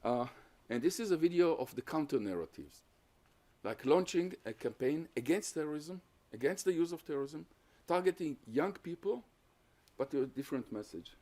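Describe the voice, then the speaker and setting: Man giving a measured lecture. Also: fairly high pitch, expressive, dark tone. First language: Hungarian. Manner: measured, explanatory, builds lists of points. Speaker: guest lecturer on terrorism research. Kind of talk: academic lecture with audience questions.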